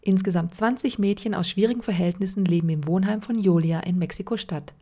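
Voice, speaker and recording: A woman narrating a documentary report in an even, measured read. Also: medium pitch. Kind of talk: scripted narration. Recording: faint music underneath.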